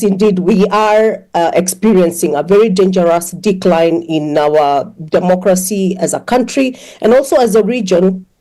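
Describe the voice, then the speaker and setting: A woman's voice: on the low side, dark tone, poor audio. A woman from Kenya speaking as an activist guest in a news interview, earnest and hesitant with many fillers.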